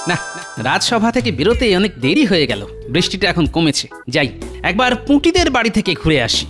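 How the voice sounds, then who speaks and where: A clever male court jester in a comedy cartoon. His mid-pitched delivery is very lively, with a wry, reasoning turn. Music plays softly behind him. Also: fast. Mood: musing. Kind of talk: Bengali comedy cartoon voice acting.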